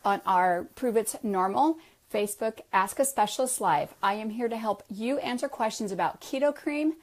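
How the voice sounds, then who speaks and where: A hoarse, raspy middle-aged woman, a wellness practitioner from the United States, hosting a live question-and-answer stream in an upbeat, enthusiastic way on a headset mic. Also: on the high side, moderate pace. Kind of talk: live social media talk.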